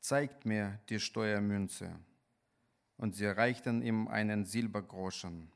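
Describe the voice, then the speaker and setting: Slow, low-voiced Ukrainian-accented male preacher. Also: gravelly. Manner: halting, deliberate, pausing mid-sentence. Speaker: guest preacher. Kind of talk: sermon with scripture reading.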